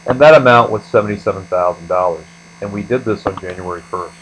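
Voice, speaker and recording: A man's voice: on the low side, fast talker, a little hiss or hum, poor audio. A man giving a step-by-step screencast lecture as an instructor, explaining things methodically. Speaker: accounting instructor.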